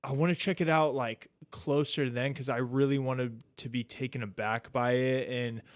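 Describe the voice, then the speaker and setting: A relaxed man in his thirties hosts a podcast, rambling easily and leaning on "like" and "dude" between thoughts. His voice is smooth and resonant at a middle pitch. The sound is close and dry, with no room around it. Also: moderate pace, no room sound. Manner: laid-back, rambling, says "dude" and "like" a lot. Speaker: podcast host. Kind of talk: casual chat.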